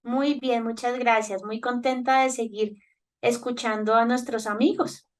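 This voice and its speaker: A woman hosting a podcast, reading a clear and measured welcome to her listeners. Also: on the high side, fairly even intonation, far from the mic. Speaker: podcast host.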